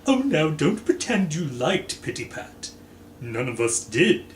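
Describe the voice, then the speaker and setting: A man's voice: on the high side, very animated, a little slow, voice pushed up. A man voicing a vain, bitter, glamorous woman with a taunting, haughty manner in a storybook reading. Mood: catty taunting. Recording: echoey room, faint background noise.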